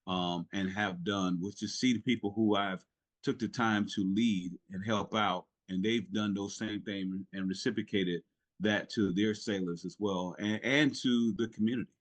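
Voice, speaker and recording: Guest spot: middle-aged man with a lowish voice, earnest and halting, retired navy chief telling his story. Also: fairly even intonation. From South Carolina, raised in Italy. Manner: earnest, lots of fillers and restarts. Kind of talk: casual podcast interview.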